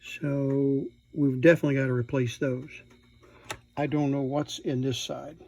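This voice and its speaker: A man at an indoor workbench gives an unscripted, hands-on repair demonstration, thinking aloud in a halting way as he works. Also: mid-range voice, little pitch movement, gravelly, darkish tone, no echo. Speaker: electronics repair hobbyist presenting.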